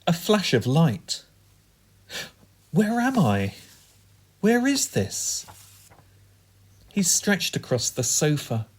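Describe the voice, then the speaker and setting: A man performing comic spoken-word poetry in a theatrical, whimsical and deliberate manner. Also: a little high, huge pitch swings, breathy edge. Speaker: performance poet.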